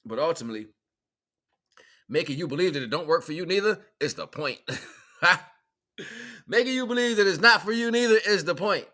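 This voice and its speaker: An American man hosting a livestream, giving an informal spiritual monologue in an animated, colloquial, preaching way with repeated phrases. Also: fairly high pitch, a little fast, long pauses. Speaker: livestream host.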